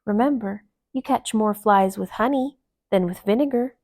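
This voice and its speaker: A woman voicing a wise, friendly female woodchuck in a children's storybook, calm and advising. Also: mid-range voice, moderate pace, close to an everyday voice.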